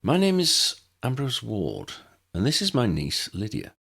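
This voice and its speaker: An unflappable older gentleman of a storybook adventure, as a male narrator puts him on. He speaks formally and dryly, with courteous self-assurance and a widely swinging melody. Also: mid-range voice, wildly swooping pitch, unhurried, creaky, breathy edge, pitched above the performer's own voice, heavily acted. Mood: courteous, assured.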